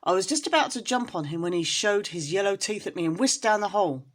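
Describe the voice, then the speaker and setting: A woman's voice: mid-range voice, expressive, fast, natural voice. A woman voicing an anxious, tearful mother cat in a children's storybook, lamenting in a distressed way. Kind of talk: children's storybook character reading.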